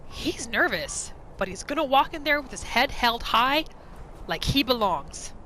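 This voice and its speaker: A woman's voice: a little high, big pitch swings, unhurried, sharp tone, a little hiss or hum. A woman doing roleplay gameplay commentary, narrating her character's thoughts and reacting to the game. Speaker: gaming video creator, roleplayer. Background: faint music.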